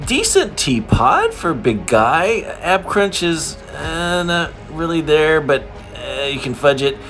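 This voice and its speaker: A man's voice: a little high, big pitch swings, takes it slowly, a bit bright, soft background music. A man hosting a casual toy review in a playful, informal, slangy way, commenting as he handles figures.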